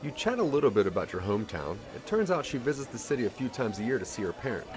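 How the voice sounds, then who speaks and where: A man's voice: mid-range voice, very animated, quick pace, music in the background, low-quality recording. A man narrating a scripted second-person story for an audio drama, with a dramatic storytelling manner.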